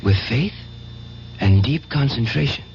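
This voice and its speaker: An actor playing an earnest, needy, devout nephew in an old radio drama. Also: male voice, medium pitch, expressive, measured pace, a little mellow. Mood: fervent. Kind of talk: 1970s radio drama acting. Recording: muffled, hissy old radio recording.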